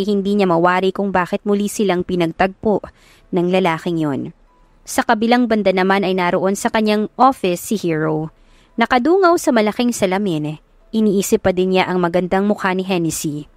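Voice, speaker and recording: A woman's voice: mid-range voice, darkish tone, no echo. A woman narrating a scripted romance audio story as its storyteller.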